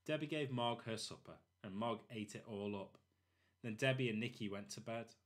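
A man narrating a children's storybook expressively, in short, deliberate phrases. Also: on the low side. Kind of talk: expressive children's read-aloud.

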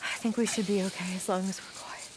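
A woman's voice: medium pitch, steady tone, fast talker, background noise, lightly acted. Woman playing an earnest, brainy survivor. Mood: cautious, explanatory. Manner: matter-of-fact, explanatory. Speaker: video game actor. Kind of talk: cinematic game dialogue.

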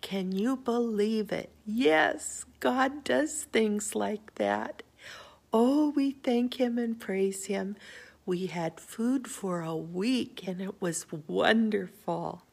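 Older woman, gravelly voice, slow gentle storytelling, Sunday school testimony, indoors. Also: medium pitch. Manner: gentle, homely storytelling with small pauses. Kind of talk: telling a personal faith story to children.

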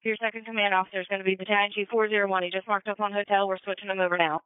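Dispatcher, extremely quick and crisp, procedural, tinny narrow radio band. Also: mid-range voice, little pitch movement, no echo. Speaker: emergency dispatcher.

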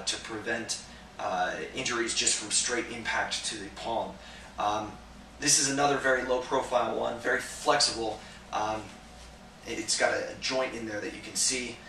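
A young man giving a casual, relaxed product review to camera for a store, filling pauses with lots of "uh" and "um". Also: mid-range voice, a little hiss or hum. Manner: relaxed, conversational, many "uh" and "um" fillers. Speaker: gear reviewer for a sports protection store. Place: indoor shop room with slat wall. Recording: echoey room, voice a bit distant.

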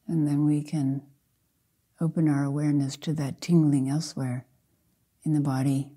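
Casting: older female meditation teacher, very dark, mellow tone, soft and husky, gentle measured phrasing with long pauses. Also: low-pitched, flat delivery. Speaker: Zen teacher leading a guided meditation. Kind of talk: slow guided meditation.

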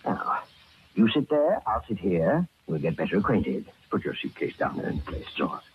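A man's voice: deep voice, big pitch swings, normal speed. Fussy, sinister old man, wildly swooping voice. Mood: fussy, probing. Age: older. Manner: fussy, repetitive, hesitant, chuckling. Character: outwardly genial, evasive, sinister old man luring women. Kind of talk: 1930s-40s radio thriller acting. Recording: muffled old radio recording with faint noise.

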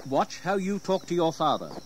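Casting: world-weary, scolding gentleman father, expressive intonation, radio play. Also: sits high, normal speed, background noise. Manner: reproachful, formal. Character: stern, weary gentleman father scolding his wayward son. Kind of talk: audio drama acting.

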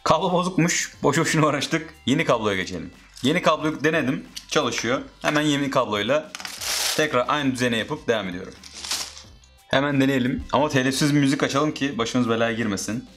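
A man's voice: medium pitch, a little fast, fairly bright tone, soft background music. A man narrating a casual step-by-step how-to as a DIY host.

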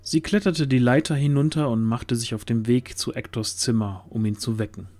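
A man's voice: mid-range voice, fairly even intonation, moderate pace, a little mellow. A man narrating a scripted fantasy story as the storyteller.

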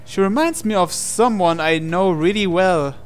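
A man reading a visual novel aloud as a dramatic narration, with deliberate pauses. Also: high voice, smooth. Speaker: gaming channel host. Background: game music.

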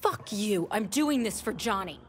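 Woman as a feisty gangster, very animated. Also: medium pitch, unhurried, slight background noise, close to an everyday voice. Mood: defiant. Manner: sardonic, blunt, exasperated. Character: feisty, sarcastic young female gang lieutenant. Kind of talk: video game voice acting. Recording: voice under loud game music.

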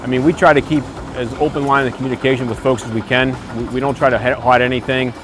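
A man's voice: mid-range voice, little pitch movement, quick pace, noisy background. An American man in his forties, a construction company owner, speaking plainly and casually in an interview with frequent "you know" asides. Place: outdoors on a construction site. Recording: music bed under the voice. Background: background music.

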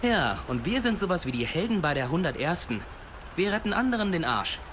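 Ein Schauspieler als naiver, eifriger junger Soldat in einer deutschen Videospiel-Synchronisation, jungenhaft und ernsthaft. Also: male voice, high-pitched, very animated, brisk, noisy background. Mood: boastful, playful. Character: naive, eager young American soldier. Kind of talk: German video game dub acting.

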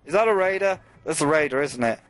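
A man's voice: a little high, expressive, fast talker, fairly bright tone. Rambling, swearing gameplay commentator, man. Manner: rambling, swearing, talking to chat. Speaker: let's play host.

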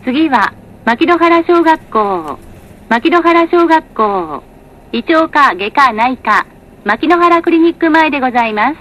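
A woman with a high voice delivers recorded announcements for bus passengers. Her delivery is scripted and formal. She articulates each phrase carefully and stays polite throughout. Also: animated, measured pace, heavy background noise.

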